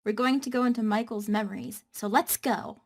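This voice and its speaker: Slightly high-voiced woman reading lines. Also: steady tone, a little fast, darkish tone. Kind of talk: amateur script table read.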